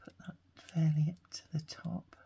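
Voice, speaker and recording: A middle-aged woman with a croaky voice, half-whispering to herself while making a collage. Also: low-pitched, little pitch movement, moderate pace, dark tone, a little hiss or hum. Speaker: craft video host. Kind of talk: soft-spoken crafting commentary.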